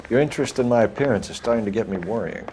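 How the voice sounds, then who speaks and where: An actor playing an earnest, principled police detective in a video game, serious and measured. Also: male voice, fairly low pitch, expressive, background noise, lightly acted. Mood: wry.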